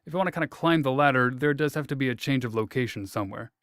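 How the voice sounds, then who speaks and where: A relaxed man from Indiana hosts the interview and asks his questions with easy jokes. His voice is smooth and clear, and the sound is close and dry. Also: mid-range voice, very fast, dry recording. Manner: joking, relaxed. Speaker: interviewer, host.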